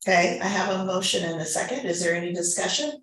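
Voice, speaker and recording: A woman's voice: fairly low pitch, fast, a bit bright, slight background noise. A woman chairing a formal board meeting, moving through procedure with conversational, informal asides. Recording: echoey room recording.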